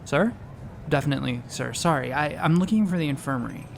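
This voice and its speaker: Higher-voiced, creaky, earnest young-sounding space doctor. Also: male voice, lively intonation, fast, background noise. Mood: flustered, lost. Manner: hesitant, stammering, awkward. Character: earnest, flustered, polite male doctor new to a space station. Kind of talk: naturalistic audio drama comedy acting.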